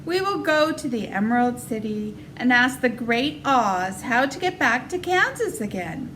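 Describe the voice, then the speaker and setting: A woman's voice: a little high, sing-song, a little slow, fairly bright tone, slight background noise, low-quality recording. A woman voicing an earnest, resolute little farm girl in a storybook reading. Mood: determined.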